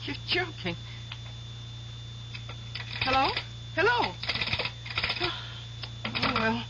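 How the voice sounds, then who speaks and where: An actress in a 1970s radio drama playing a curious, chatty young pregnant wife. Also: female voice, sits high, sing-song, extremely slow, heavily acted. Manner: eager, chatty, inquisitive. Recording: muffled old radio recording with hiss.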